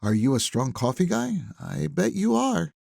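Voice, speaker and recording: A man voicing a grieving, guarded suburban widow in an audiobook, soft-spoken and halting. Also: a little high, big pitch swings, gravelly, no echo, clean studio sound, voice pushed up, heavily acted. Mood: polite, lightly teasing.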